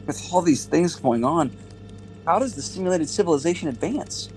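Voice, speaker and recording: A man's voice: a little high, lively intonation, normal speed, darkish tone, lightly acted, poor audio. A man plays a young alien trainee in an audio drama. He sounds questioning and hesitant, naive yet a little snobbish, with faint music behind the voice. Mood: skeptical.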